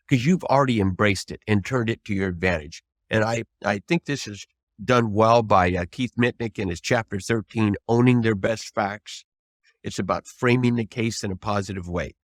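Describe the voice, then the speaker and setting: A man's voice: on the low side, no echo. An older man, a trial lawyer, giving advice as an interview guest in an earnest, emphatic, folksy way with rhetorical questions.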